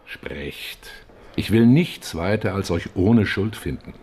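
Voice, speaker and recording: An actor playing a stern but kindly cardinal in a radio drama, admonishing in a measured, dignified way. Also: male voice, sits low, animated, a little mellow, husky, heavily acted. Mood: stern questioning. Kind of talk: German radio drama acting.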